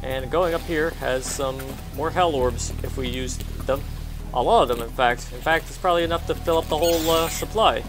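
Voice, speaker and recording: A man's voice: on the high side, moderate pace. A man giving casual gameplay commentary as he walks viewers through a video game. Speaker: gamer giving a walkthrough. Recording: game music behind the voice.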